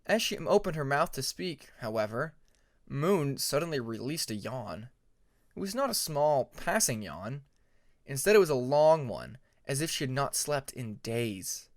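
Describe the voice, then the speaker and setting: A man's voice: medium pitch, big pitch swings, unhurried, no room sound, studio quality. A man reading a fantasy story aloud as a scripted audiobook narration. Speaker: audiobook narrator of fan fiction.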